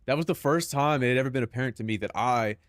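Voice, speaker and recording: A young man with a Southern Californian accent riffs loosely with his stream chat, swearing freely and tossing in "bro" every few lines. His voice sits at an ordinary pitch. The sound is dry, with no room echo. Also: fast talker. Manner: loose, profane banter peppered with "bro".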